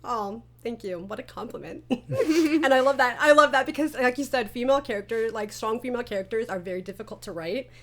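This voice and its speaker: A woman with a fairly high voice talks informally about creative work as a director. Her manner is enthusiastic and giggly, and her delivery is conversational. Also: fairly quick, few pauses, fairly bright tone. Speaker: audio drama writer, director and interviewer. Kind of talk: casual chat about a creative project.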